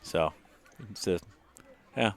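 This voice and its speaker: A man's voice: slow pace, no room sound. A man who is a singer-songwriter, a guest on a podcast, talking in an easygoing, rambling way. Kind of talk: casual chat.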